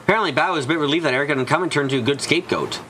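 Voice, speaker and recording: A man's voice: a little high, very fast, bright, forceful tone, a little hiss or hum. A man narrating a scripted story in a dramatic style for a visual novel fan dub. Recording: music behind the voice. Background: clear background music.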